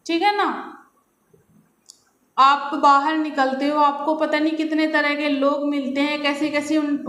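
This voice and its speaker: A woman's voice: high voice, fairly bright tone, echoey room. A woman giving unscripted opinion commentary in a scolding, argumentative way, often with rhetorical questions. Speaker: commentator on reality show gossip. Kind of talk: unscripted opinion commentary addressed to viewers and contestants.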